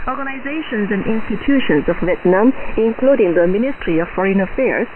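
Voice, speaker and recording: A woman reads a formal, solemn, measured state news bulletin over shortwave radio. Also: medium pitch, expressive. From North Korea. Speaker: newsreader. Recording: noisy, narrow-band AM shortwave radio reception.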